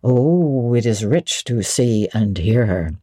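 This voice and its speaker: A woman reading a classic novel aloud, voicing a wealthy, amused, possessive gentleman in love, with grand, teasing relish. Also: a bass voice, animated, slow, husky, dry recording, clean studio sound, pitched below the performer's own voice, full character voice. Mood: amused, adoring. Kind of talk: dramatic classic audiobook reading.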